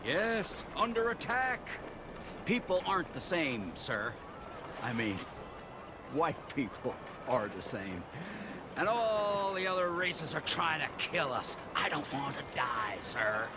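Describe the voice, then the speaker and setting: High, pompous soapbox zealot. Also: male voice, very animated, slow, noisy background. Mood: indignant. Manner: pompous, theatrical soapbox oratory. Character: zealous bigoted male street preacher handing out pamphlets, indignant. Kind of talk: video game acting.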